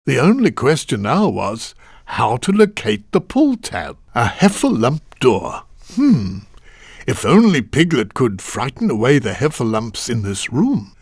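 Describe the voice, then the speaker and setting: A man voicing a kindly, genteel storybook narrator in a children's game, speaking in a deliberate, expressive, old-fashioned way. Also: fairly high pitch, dry recording, studio quality, full character voice.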